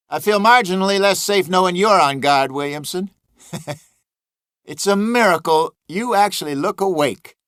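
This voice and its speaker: An actor playing a scornful, exasperated Old West gang member in a video game, sarcastic and chiding. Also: male voice, high voice, measured pace, pauses often, fairly bright tone, clear, resonant tone, no room sound, studio quality. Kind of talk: video game character barks.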